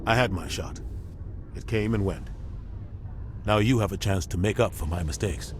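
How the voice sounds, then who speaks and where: A man voicing a weary older military captain in a video game, speaking in a grave, measured, plain-spoken way. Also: sits low, slight background noise, lightly acted. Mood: regretful. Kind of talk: dramatic video game dialogue.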